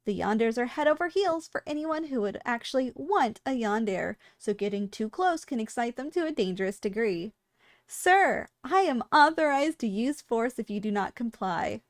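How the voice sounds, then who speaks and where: A woman's voice: fairly high pitch, animated, moderate pace, dry recording. A woman playing a polite, businesslike shop clerk in a scripted ASMR roleplay, with formal sales patter and dry understatement. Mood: firm, polite. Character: polite, businesslike female shop clerk selling dangerous yandere girls.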